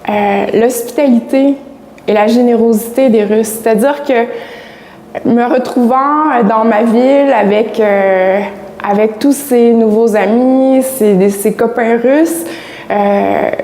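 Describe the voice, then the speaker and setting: Slow, hesitant woman in an echoey hall. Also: fairly high pitch, darkish tone, a little hiss or hum. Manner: hesitant, with many fillers. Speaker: interviewee. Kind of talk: documentary interview. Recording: echoey hall, faint ambience.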